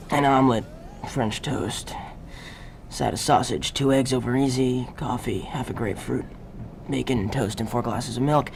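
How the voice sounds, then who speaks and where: A man playing a weary, brooding army captain, narrating deadpan in a radio drama parody. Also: mid-range voice, vocal fry, close to an everyday voice.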